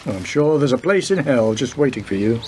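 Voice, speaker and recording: A man's voice: medium pitch, animated, a little fast, close to an everyday voice. A world-weary male police chief inspector played by an actor in a radio drama. He speaks in a mid-range voice with dry, probing, clipped questions. There is noticeable ambient noise behind him. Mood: dry, pensive. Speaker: actor in a community radio play.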